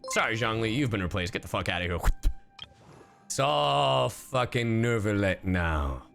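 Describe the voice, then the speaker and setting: A man gives casual livestream reactions in a slangy, sweary, excitable way. His voice is forceful and creaky and swings widely in pitch. Faint music plays behind him. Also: unhurried. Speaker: streamer.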